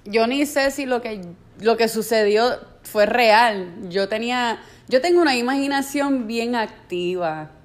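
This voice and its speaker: A woman's voice: fairly high pitch, a little slow. Chatty Puerto Rican woman vlogger. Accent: Puerto Rican Spanish. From Puerto Rico. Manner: animated storytelling, mixing in English phrases. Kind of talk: casual monologue.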